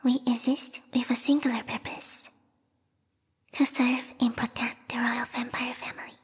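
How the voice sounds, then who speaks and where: A woman voicing a formal, haughty bat girl who serves a vampire queen, speaking in a breathy, whispery ASMR roleplay style close to the microphone, politely menacing. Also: on the high side, level tone, measured pace, big-room sound. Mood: amused, proud. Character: yandere bat monster girl, devoted servant of vampire queen. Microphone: close binaural microphone.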